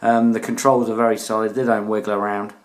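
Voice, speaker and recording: A man's voice: on the low side, little pitch movement, fast talker. A British man giving a casual, chatty video review as a hobbyist, using informal slang. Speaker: radio hobbyist reviewing his collection.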